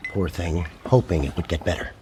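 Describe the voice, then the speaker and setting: An actor playing a gentle, earnest, eccentric male scientist in a radio comedy, husky and sincerely matter-of-fact about absurd things. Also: sits low, brisk, noisy background, lightly acted. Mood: serene, matter-of-fact. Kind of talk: radio comedy drama acting.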